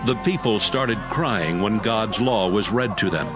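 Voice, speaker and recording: A man's voice: fairly low pitch, expressive, unhurried, music in the background. A man reading scripted audio Bible narration as its narrator.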